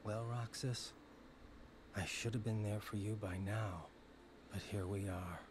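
Male actor as stubborn wry young rebel, slow delivery, game drama, faint background noise. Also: fairly low pitch, steady tone, close to an everyday voice. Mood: regretful, bitter. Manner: curt, wry, casual. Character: stubborn, brooding young rebel man, defensive. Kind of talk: dramatic video game voice acting.

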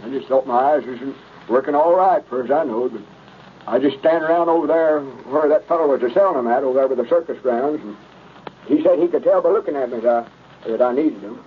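An actor playing a slow-witted, good-natured young country fellow, simple and hesitant, with a rural Southern accent in a 1930s radio comedy. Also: male voice, mid-range voice, close to an everyday voice. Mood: earnest, simple. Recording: tinny, noisy old radio recording.

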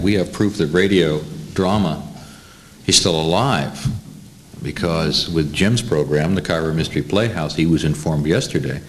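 Low-pitched American male moderator. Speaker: panel moderator. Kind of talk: informal panel moderating. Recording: faint background noise.

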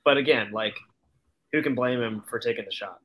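A man's voice: medium pitch, brisk, fairly bright tone, slightly rough. A man chatting casually about soccer as a podcast panelist on a video call. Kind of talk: casual chat.

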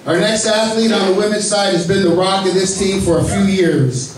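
A man hosting a press conference, announcing athletes and joking with the panel in a genial way. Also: high-pitched. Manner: genial, joking, lists names with pauses. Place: large echoey indoor hall. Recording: echoey hall recorded from far off, noisy.

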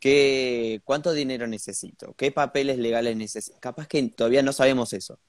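A man's voice: mid-range voice, steady tone, a little fast, no room sound. Young man, dry sound. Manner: conversational, thinking aloud. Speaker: host. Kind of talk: video call interview.

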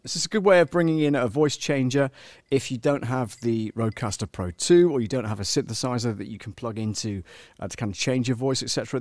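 A man in his fifties giving a casual live software tutorial, chatty and halting as he thinks aloud, on a close studio microphone. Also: medium pitch, dry recording. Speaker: live-streaming audio producer demonstrating software. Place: small home studio indoors.

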